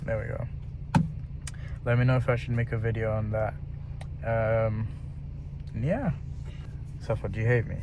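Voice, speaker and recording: Loud car and road noise surrounds a young British man vlogging as he drives. His voice sits at an ordinary pitch, and he talks casually in slang, blunt and teasing. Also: little pitch movement, normal speed, pauses often, phone-quality sound. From United Kingdom. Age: young adult. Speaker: driving vlogger. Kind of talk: casual chat while driving. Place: inside a moving car.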